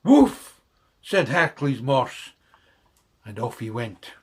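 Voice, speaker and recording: Slow, expressive male storyteller, slightly husky. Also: medium pitch. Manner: dramatic, rhythmic verse reading. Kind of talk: children's bedtime story reading.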